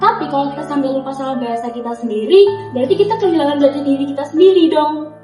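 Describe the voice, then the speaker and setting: A woman's voice: sits high, muffled recording, lightly acted. A young woman acting a female student in an amateur school skit who leads the discussion with pointed questions. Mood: questioning. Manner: quick, questioning, guiding the discussion. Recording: roomy, with music underneath.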